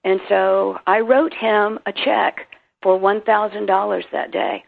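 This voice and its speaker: A Southern woman with a South Carolina and Tennessee accent tells her story with drawn-out emphasis. Her voice has a heavy gravelly fry. It comes through a thin, tinny phone line. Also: mid-range voice, flat delivery. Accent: Southern American, South Carolina and Tennessee. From American South. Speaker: author guest.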